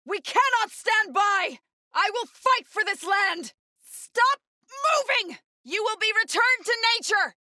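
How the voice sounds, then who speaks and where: Intense, bright-voiced young warrior woman. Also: extremely high pitch, animated, takes it slowly, no room sound, studio quality, exaggerated voice. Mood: urgent, fierce battle cries. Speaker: video game voice actor. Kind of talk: scripted game combat barks and companion lines.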